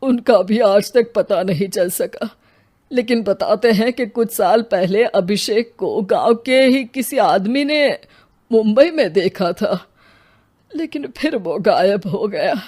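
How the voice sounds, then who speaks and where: A woman voicing a grieving old male village headman in a cartoon, talking slowly and breaking into sobs. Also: medium pitch, animated, a little mellow. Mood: sorrowful. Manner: slow, sobbing, breaking into weeping. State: crying. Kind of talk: Hindi cartoon voice acting.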